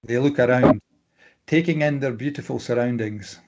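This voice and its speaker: A man reading screenplay stage directions as scripted narration, plain and measured, with clipped scene headings. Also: medium pitch, lo-fi sound, heavy background noise.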